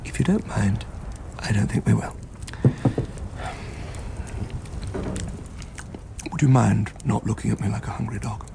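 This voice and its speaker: An actor playing a weary, educated Edwardian writer, hesitant and wry, in a radio drama. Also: male voice, fairly low pitch, steady tone, brisk, vocal fry. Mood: testy. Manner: hesitant, wry, politely exasperated.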